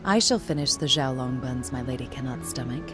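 Game casting: a devoted young female warrior guard, low register, unhurried and solemn, music underneath. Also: expressive. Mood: solemn, reserved. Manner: formal, restrained, solemn delivery. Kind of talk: scripted video game character voice lines.

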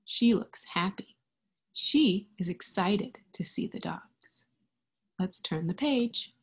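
A young woman reads a storybook to little children at a very slow, careful pace. Her voice is medium in pitch with a breathy, husky edge. She speaks in an expressive teacher's manner, breaking the story up with simple explanations and questions. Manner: expressive, deliberate, child-directed teacher talk. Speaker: picture book reader for children. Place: indoors in front of a green screen.

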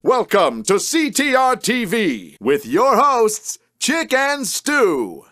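A man playing a showy TV race-show announcer in a cartoon game, with a theatrical, drawn-out delivery. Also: sits high, wildly swooping pitch, bright, forceful tone, no room sound, studio quality, heavily acted. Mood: booming hype.

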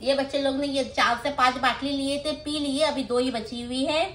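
Mumbai lady doing a casual haul vlog, really high voice, roomy sound w a bit of noise. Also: fairly even intonation, normal speed, fairly bright tone. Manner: explanatory, tags phrases with questions. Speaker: vlogger. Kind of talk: casual grocery haul chat. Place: small room indoors, seated on floor. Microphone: phone held by someone nearby. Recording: roomy echo, faint background noise.

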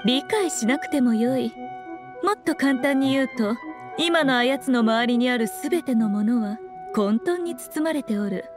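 A woman's voice: on the high side, normal speed, lightly acted. A woman playing a sly, regal fox shrine priestess in an anime game, speaking in an archaic, haughty and composed way. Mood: measured, knowing. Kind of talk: anime video game acting. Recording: music behind the voice.

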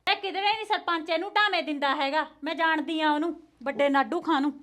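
Shrill, bright-voiced village wife, played by a woman, fast and cutting, dry close sound. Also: dry recording. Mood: hushed scolding. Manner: scolding, biting, quick retorts. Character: sharp-tongued village wife, fed up. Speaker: actor in a family quarrel skit.

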